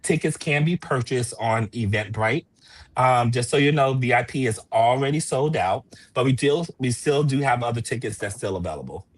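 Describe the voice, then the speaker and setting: A man's voice: mid-range voice, moderate pace, dry recording. A man who produces stage plays, speaking casually as an interview guest to promote his work.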